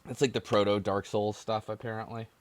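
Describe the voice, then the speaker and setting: A man's voice: on the low side, little pitch movement, brisk, a little hiss or hum. A man hosting a call-in podcast, chatting casually with a jokey, ribbing manner. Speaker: podcast host taking callers. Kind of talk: casual chat.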